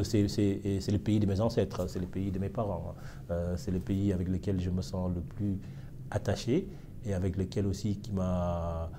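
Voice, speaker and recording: A man's voice: fairly low pitch, background noise. A man from Senegal, a historian, answering interview questions in a reflective way with frequent fillers and hesitations. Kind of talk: casual interview.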